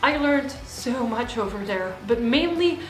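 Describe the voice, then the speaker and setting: A woman's voice: a little high, lively intonation, unhurried. Woman vlogging in an echoey room. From Belgium. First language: Dutch. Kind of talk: personal monologue to camera.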